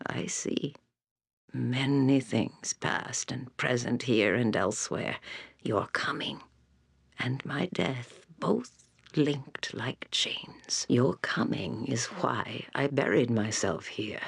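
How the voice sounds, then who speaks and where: Blind elderly female seer, raspy and breathy, very slow, solemn, cryptic, fearful, fatalistic, fantasy game character. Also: very deep voice, heavily acted. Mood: sorrowful, resigned. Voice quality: breathy, raspy, whispery creaking voice. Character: blind old woman seer hiding in ice caves, fearful, fatalistic. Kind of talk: video game character acting.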